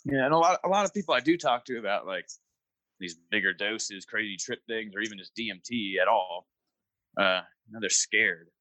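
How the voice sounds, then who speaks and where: A man's voice: lowish voice, expressive, normal speed, sharp tone, dry recording, poor audio. A young man in casual chat, talking in a laid-back, rambling way with lots of fillers.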